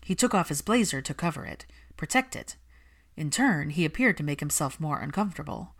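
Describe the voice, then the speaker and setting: A woman's voice: sits low, expressive, fairly quick. A woman reading scripted fiction aloud as a story narrator.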